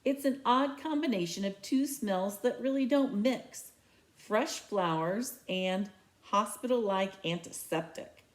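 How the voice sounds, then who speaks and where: A woman's voice: medium pitch, measured pace. Woman reading a novel aloud. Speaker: read-aloud reader.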